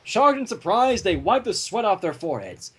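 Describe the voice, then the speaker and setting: This is a reader narrating a fan-written story. The delivery is theatrical and very lively in pitch, with a husky, breathy texture throughout. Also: normal speed. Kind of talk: animated fan fiction narration with banter.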